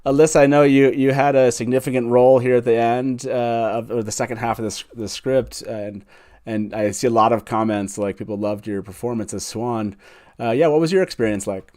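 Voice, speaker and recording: A man's voice: medium pitch, fairly quick, darkish tone. A man with an informal, enthusiastic manner hosting a casual fan livestream chat over a video call. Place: live online video call.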